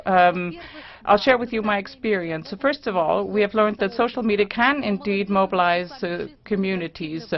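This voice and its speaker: A woman giving a simultaneous interpretation of a formal forum speech, measured, with some fillers and restarts. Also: medium pitch. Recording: dull lo-fi conference recording.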